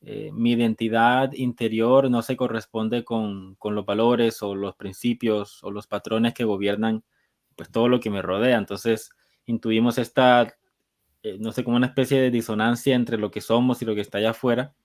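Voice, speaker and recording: A man hosting a podcast, asking questions in a thoughtful way and pausing to search for his words. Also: lowish voice, steady tone, darkish tone. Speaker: podcast host. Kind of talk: conversational interview.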